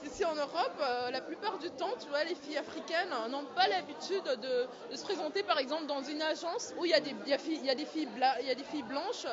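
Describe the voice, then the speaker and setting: A woman's voice: high voice, quick pace, few pauses. A woman model interviewed at a gala, speaking hesitantly with many fillers. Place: crowded gala hall. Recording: muffled lo-fi audio with crowd noise.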